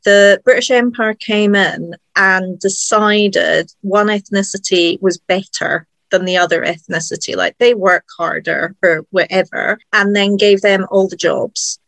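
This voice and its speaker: A woman's voice: mid-range voice, steady tone, unhurried, gravelly, few pauses, a bit bright, no echo. A woman asking thoughtful questions in a casual interview conversation.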